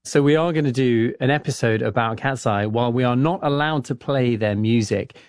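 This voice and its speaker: A man hosting a podcast, asking relaxed, curious questions. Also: medium pitch, a little fast, dry recording, clean studio sound. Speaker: podcast host. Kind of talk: casual interviewing.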